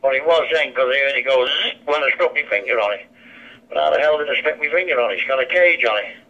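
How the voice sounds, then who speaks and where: Male radio ham, forceful tone, blunt and informal, muffled digital two-way radio sound. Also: medium pitch, lively intonation, quick pace. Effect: digital radio transmission. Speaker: amateur radio operator. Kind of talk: casual two-way radio chat. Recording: dull digital handheld radio speaker.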